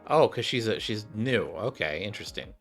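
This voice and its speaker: A male gaming streamer with a low voice talks casually over game music. His commentary is offhand and slangy, with lively rises and falls in pitch. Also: normal speed.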